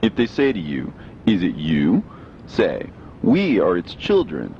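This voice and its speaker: A man with a deep voice narrates scripture in a solemn, measured, declamatory way. His pitch moves widely as he reads. The recording is dull, with a faint rumbling noise behind him. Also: wildly swooping pitch, a little mellow.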